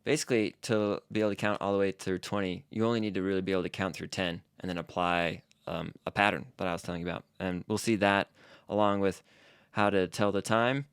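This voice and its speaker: A man's voice: low voice, fairly even intonation, quick pace, clear, resonant tone, no room sound, studio quality. A man co-hosting a casual podcast, explaining Japanese phrases in an easygoing, fluent way. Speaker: podcast co-host teaching Japanese. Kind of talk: casual language-lesson chat.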